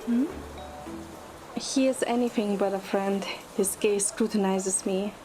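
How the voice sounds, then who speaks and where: A woman's voice: medium pitch, steady tone, a little slow. A woman reading a scripted first-person dramatic narration. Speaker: narrator and lead in a fan audio drama. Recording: loud music under the voice. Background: music.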